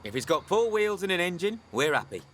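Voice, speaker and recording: Middle-aged garage owner, teasing, old-fashioned, very varied intonation, radio soap. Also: male voice, high voice, very animated, fairly quick, a bit bright, a little hiss or hum. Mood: dry, jovial. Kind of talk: radio soap opera acting.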